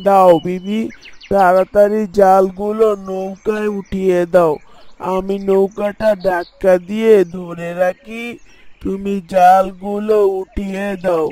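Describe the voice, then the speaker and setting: Man playing high-voiced, even-toned village fisherman father. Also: takes it slowly. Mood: calm, busy. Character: devout fisherman father, patient and hardworking village husband. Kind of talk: Bengali Islamic moral story drama acting.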